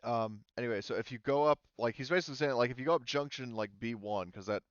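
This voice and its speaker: Male, game master, smooth voice, halting casual narration, dry slightly dull recording. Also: medium pitch, no echo. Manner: halting, informal explaining with frequent "like".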